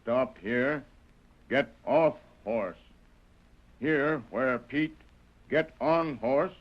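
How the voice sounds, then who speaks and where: A radio western tracker sidekick, slow halting broken english with grunts, dull old noisy audio. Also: male voice, medium pitch. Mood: terse, observant. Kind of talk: 1940s radio drama acting.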